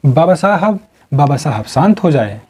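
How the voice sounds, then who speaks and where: A man's voice: on the high side, sing-song, unhurried, mellow, breathy edge, exaggerated voice. A man voicing a devoted, anxious male assistant in a told story, speaking respectfully and pleadingly. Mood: anxious, soothing.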